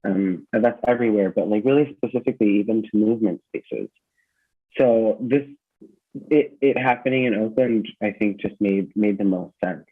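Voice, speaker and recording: Male interview guest, fairly low pitch, reflective and sincere, feeling for words, tinny call-quality audio. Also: breathy edge. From San Francisco Bay Area, United States. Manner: earnest, thoughtful, halting with repeated words. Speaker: gym founder, interview guest. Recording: muffled phone-like call audio.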